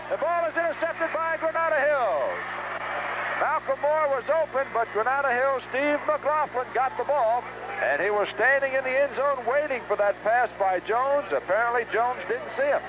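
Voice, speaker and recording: A high-voiced man calls a football game live as a radio announcer, with an excitable, urgent delivery. The sound is a dull, noisy seventies broadcast recorded at an outdoor stadium. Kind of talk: live radio play-by-play commentary.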